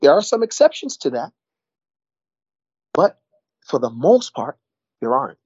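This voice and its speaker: Husky-voiced middle-aged New man. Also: a little high, sing-song, a little slow, darkish tone, dry recording, muffled recording. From New York. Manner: rambling, repeats words, frequent "you know". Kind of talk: casual conversation.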